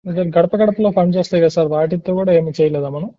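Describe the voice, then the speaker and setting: A man with a slightly high voice asks survey questions at a quick clip over a lo-fi phone call. He is polite and deferential, and he drops English words into his questions. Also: dark tone. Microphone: telephone. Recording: muffled lo-fi leaked phone call.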